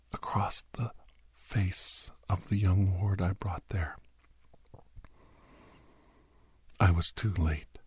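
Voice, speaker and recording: A man playing a grim doctor recounting a bloody werewolf rescue in a hushed, breathy, halting ASMR storytelling style. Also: deep voice, no room sound, full character voice. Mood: grave, tense. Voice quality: breathy, husky near-whisper. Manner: hushed, halting, dramatic delivery. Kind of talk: whispered ASMR horror storytelling.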